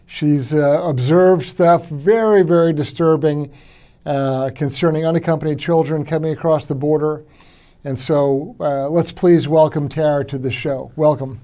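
A male podcast host whose voice sits a little high for a man but sounds dark and mellow. He talks in a halting way, repeating words and filling gaps with uh. His speech runs as a plain interview conversation. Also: fairly even intonation.

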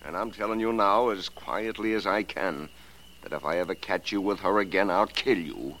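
A man's voice: low-pitched, normal speed, fairly bright tone, a little hiss or hum. An actor playing an angry, protective middle-aged father in a 1940s radio drama, scolding emphatically. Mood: angry, threatening.

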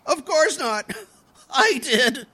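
Excitable, bright-voiced teenage schoolboy schemer. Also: high-pitched, extremely slow, exaggerated voice. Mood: gleeful, cocky. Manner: slangy, theatrical, exclaiming. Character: scheming teenage schoolboy prankster, dramatic and wheedling. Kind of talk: old-time radio comedy acting.

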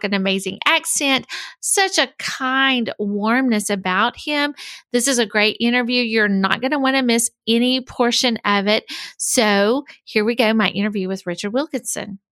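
A woman's voice: on the high side, moderate pace, a bit bright, no echo, studio quality. A woman hosting a podcast as an executive coach, talking in an enthusiastic, upbeat way. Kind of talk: podcast interview.

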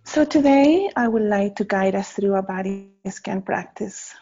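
A woman leading a guided meditation in a gentle, unhurried manner with pauses between short phrases. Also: mid-range voice, husky, soft background music. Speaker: meditation guide, faculty member. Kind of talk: guided body scan meditation. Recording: slightly dull, muffled audio.

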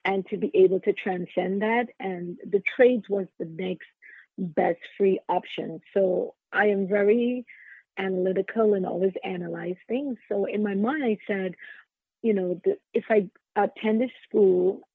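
A woman's voice: mid-range voice, a little slow, vocal fry, husky, no room sound. A middle-aged woman with a Trinidadian accent, telling her own story conversationally as a podcast guest. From Trinidad and Tobago. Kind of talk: conversational interview answers, personal storytelling. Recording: narrow phone-like sound, dry.

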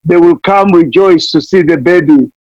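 A man's voice: fairly high pitch, moderate pace, darkish tone. A man from Kenya, preaching fervently as a missionary guest, pleading again and again and playing on words. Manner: impassioned, repeats pleas, wordplay. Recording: dull lo-fi dry sound.

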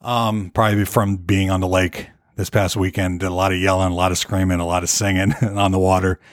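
A hoarse man hosting his own podcast, chatting loosely and rambling from topic to topic. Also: deep voice, flat delivery, fairly quick, no room sound, clean studio sound. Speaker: podcast host. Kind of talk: casual solo podcast rambling. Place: Nashville.

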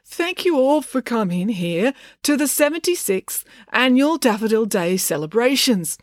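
Woman putting on an awkward, earnest, animated dad. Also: a little high, unhurried, dry recording, clean studio sound, pitched above the performer's own voice. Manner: hesitant, earnest, rambling. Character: awkward, flustered, cheerfully honest middle-aged dad. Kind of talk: children's audiobook character voice.

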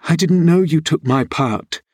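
A man's voice: mid-range voice, lively intonation, a little slow, husky, no echo, studio quality. A man voicing a willful young woman who pleads insistently with her cousin in an audiobook novel. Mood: pleading.

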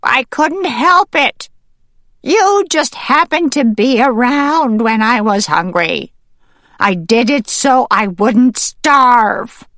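A storybook mother weasel, played by a woman narrator, hungry, defensive and worn out. The voice is high and sharp, with very slow, drawn-out protests that sound strained and pleading. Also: lively intonation, dry recording, clean studio sound. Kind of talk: audiobook character voice.

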